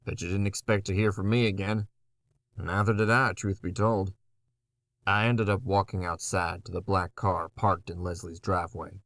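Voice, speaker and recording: A man's voice: sits low, steady tone, normal speed, a bit bright, no echo. A man playing a battered, foul-mouthed undead antihero, telling his story in a wry, conversational way in a horror audio drama. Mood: grim, wry. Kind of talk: first-person horror audio drama narration.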